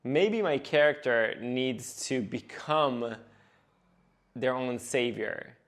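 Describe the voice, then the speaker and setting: A man, an animation director, giving earnest scripted devlog narration and slowing down for emphasis. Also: mid-range voice.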